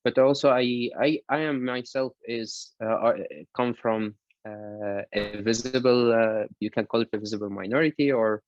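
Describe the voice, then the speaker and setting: A man with a Lebanese accent, an academic introducing himself on a panel over a video call in halting phrases. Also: mid-range voice, fairly even intonation, slight background noise. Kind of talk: informal self-introduction on a panel. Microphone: online video call. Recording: dull lo-fi online call audio.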